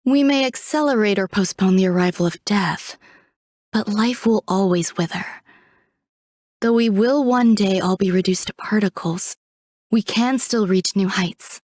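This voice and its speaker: A woman voicing a refined, coolly detached genius scientist in a video game, speaking in a composed, measured, poetic way. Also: medium pitch, darkish tone, no room sound, clean studio sound, lightly acted. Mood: serene, reflective. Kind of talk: video game character voice lines.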